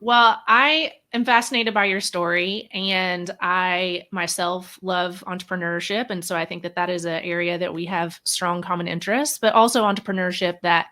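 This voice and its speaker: Woman, slightly low pitch, interview host, conversational, gracious, appreciative. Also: fairly even intonation, moderate pace, hardly any pauses, bright, forceful tone.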